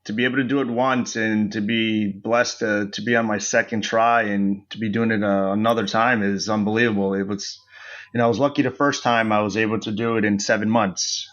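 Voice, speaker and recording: Brief: American guest, mid-low voice, sincere and steady, slightly muffled call audio. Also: male voice, brisk. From United States. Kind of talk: casual interview talk.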